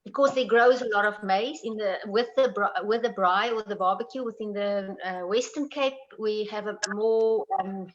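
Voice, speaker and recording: A woman with a South African accent, a native Afrikaans speaker, talking as a panel guest in a hesitant, chatty way with many pauses. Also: mid-range voice. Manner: hesitant, many ums, laughs often. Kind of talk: casual conversation. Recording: dull lo-fi call audio.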